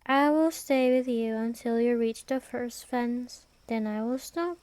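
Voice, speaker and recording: A woman playing an ancient vampire queen in an ASMR roleplay, aloof and menacing yet protective, with a measured, formal, imperious delivery. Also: a little high, a little mellow, clean tone, no echo, close to an everyday voice. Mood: guarded. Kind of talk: ASMR roleplay, scripted fantasy monologue.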